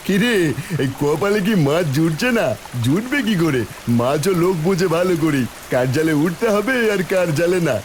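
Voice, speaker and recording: A man's voice: sits high, lively intonation, unhurried, slight background noise. A man playing a mocking, gloating village neighbour in a cartoon fairy tale, with a taunting drawl.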